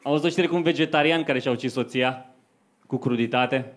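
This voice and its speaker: A man's voice: mid-range voice, a faint crowd. A young man performing stand-up comedy in a conversational storytelling manner into a handheld microphone on a club stage. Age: young adult. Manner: conversational storytelling to a live audience. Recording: faint noise, live club stage recording.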